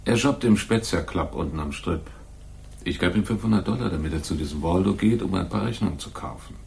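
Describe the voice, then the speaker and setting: A man's voice: low voice, vocal fry, darkish tone, a little hiss or hum. An actor playing a big, tough, shady man in a noir radio play, skeptical and sardonic as he bargains. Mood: businesslike. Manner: sardonic, wary, deliberate. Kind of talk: 1980s German radio play, noir dialogue.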